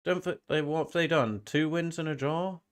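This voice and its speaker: A man's voice: on the high side, normal speed, clean tone. Casual rambling man chatting on stream. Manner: rambling, often repeats phrases. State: slight head cold, sniffly. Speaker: streamer hosting a football watch-along. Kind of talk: casual live-stream chat.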